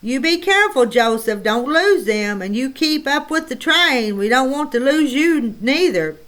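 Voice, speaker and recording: Anxious, scolding pioneer mother, high and fussy, voiced by a woman reader. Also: expressive, measured pace, a bit bright. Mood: fretful.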